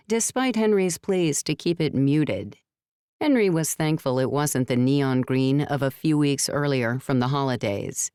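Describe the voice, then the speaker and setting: A woman narrates fiction in a notably low, smooth and resonant voice. The recording is dry and close, and her delivery is measured, like someone telling a story. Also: darkish tone, dry recording. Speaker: audiobook narrator. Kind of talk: scripted narration of a novel.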